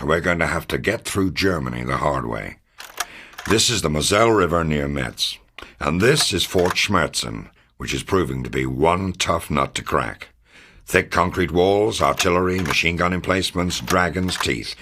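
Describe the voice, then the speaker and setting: A man playing a commanding, confident American intelligence officer, delivering a measured, authoritative mission briefing in a video game. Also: low-pitched, expressive, bright, forceful tone, a little hiss or hum, exaggerated voice. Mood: firm, emphatic. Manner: authoritative, measured, matter-of-fact. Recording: music under the voice. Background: background music.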